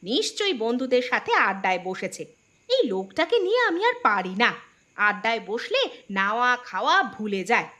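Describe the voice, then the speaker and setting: A woman's voice: fairly high pitch, sing-song, normal speed, pushed and bright. A woman voicing a nagging, disdainful village wife in a cartoon folk tale, scolding and exasperated in manner.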